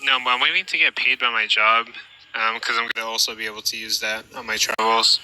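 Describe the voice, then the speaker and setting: A man's voice: lowish voice, little pitch movement, fast talker, sharp tone, poor audio. A man rambling hesitantly on a group call from an airport. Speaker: group chat member.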